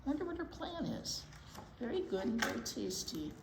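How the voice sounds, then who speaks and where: A woman reading a picture book aloud to young children in an expressive storytime style, pausing to wonder aloud. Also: fairly high pitch. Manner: animated, pauses to wonder aloud and question young listeners. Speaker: children's storytime reader at a library. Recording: roomy echo with clear background noise.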